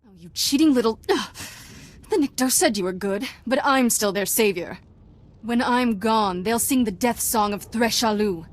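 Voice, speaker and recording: An actress playing a young, arrogant, ruthless cult leader in a video game, haughty and taunting. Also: female voice, on the high side, normal speed, lightly acted. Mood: menacing.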